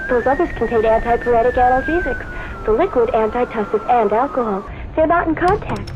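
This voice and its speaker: An actress playing a grumpy, matter-of-fact wife with a cold in a radio commercial. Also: female voice, fairly high pitch, animated, brisk, background noise, close to an everyday voice. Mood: grumbling, insistent. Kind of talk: 1970s radio ad dialogue. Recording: dull old radio, music behind.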